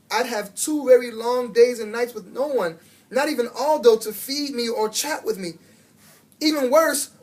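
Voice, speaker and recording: An American man narrates a children's storybook. His delivery is expressive and dramatic, with emphatic phrasing that acts out the story as he goes. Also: very high-pitched, husky. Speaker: children's book reader.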